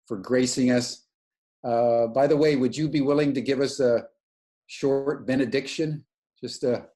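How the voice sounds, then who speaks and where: A man's voice: mid-range voice, a little mellow. A man giving an earnest, searching spiritual lecture over a video call, often restarting his sentences. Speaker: webinar lecturer.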